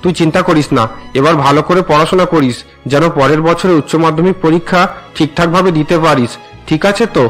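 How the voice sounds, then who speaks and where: A man's voice: on the high side, quick pace, fairly bright tone, soft background music, close to an everyday voice, low-quality recording. Concerned, forgiving cartoon father, played by a man. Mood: soothing. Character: worried, forgiving middle-aged father. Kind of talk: melodramatic Bengali cartoon dubbing.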